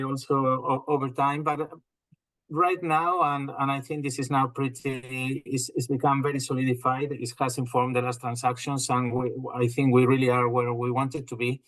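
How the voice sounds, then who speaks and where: A man from northwest Spain with a Spanish accent, a company president explaining his business in a measured way, with frequent fillers and restarts. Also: mid-range voice, steady tone, fairly bright tone, dry recording, low-quality recording. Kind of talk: business interview over video call. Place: home or office in England.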